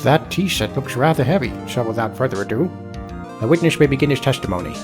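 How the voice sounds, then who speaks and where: A man voicing a formal, measured courtroom judge in a video game dub. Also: mid-range voice, expressive, natural voice. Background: music.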